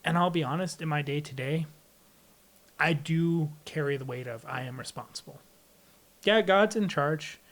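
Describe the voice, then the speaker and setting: Higher-pitched man in a candid interview. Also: normal speed, a bit bright. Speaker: podcast guest, air traffic controller.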